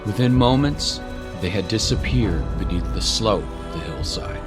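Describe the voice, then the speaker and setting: A man's voice: lowish voice, lively intonation, normal speed, over music. A man reading scripted story narration as the narrator of a drama.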